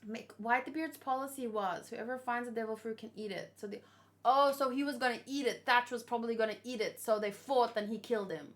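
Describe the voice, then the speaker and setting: A woman's voice: fairly high pitch, fairly quick, fairly bright tone. A woman chatting casually and unscripted, thinking aloud and asking questions as she hosts a reaction video. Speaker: manga reaction host.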